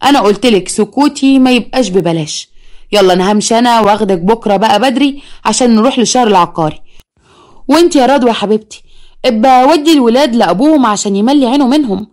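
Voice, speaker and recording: A woman's voice: fairly high pitch, fast talker, a little mellow, close to an everyday voice. A woman with an Egyptian accent voicing a smug, scheming young man who mocks and blackmails his stepmother. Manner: mocking, smug, sweet-talking.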